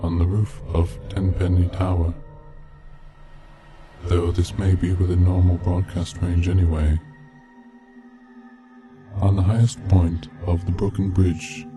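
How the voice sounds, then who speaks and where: A man reading a scripted narration in a measured, deliberate manner. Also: low-pitched, level tone. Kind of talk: scripted narration of a video game mystery. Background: background music.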